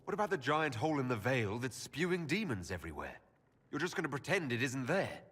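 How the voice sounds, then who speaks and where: An actor playing a blunt hero in a fantasy video game, speaking in a direct, questioning way. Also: male voice, medium pitch, sing-song, brisk, a bit bright, slightly rough, long reverb tail. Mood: blunt, challenging. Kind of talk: video game dialogue acting.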